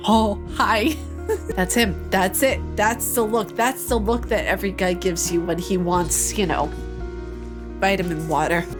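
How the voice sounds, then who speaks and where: A playful woman with a mid-range voice reads a visual novel's story text aloud, then drops into joking commentary and laughs. Game music plays clearly behind her. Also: medium pitch, fairly even intonation, unhurried. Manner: playful, joking asides between narrated passages, frequent laughs. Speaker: let's play host.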